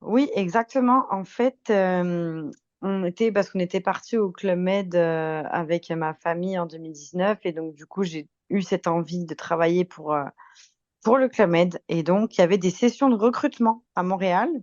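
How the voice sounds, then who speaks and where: Lower-voiced young Frenchwoman, slightly dull audio. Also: moderate pace, darkish tone, no echo. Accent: French from France with slight Québécois tinge. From France. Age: 20s. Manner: chatty, good-humored, giggly. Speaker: podcast guest. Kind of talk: casual chat, telling anecdotes.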